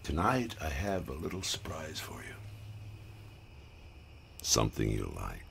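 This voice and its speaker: Very deep, gravelly commander. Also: male voice, sing-song, measured pace, fairly bright tone, slight background noise, exaggerated voice. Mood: teasing, courtly. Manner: courtly, teasing. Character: courtly, powerful older male commander, slyly playful. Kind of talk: audio drama acting.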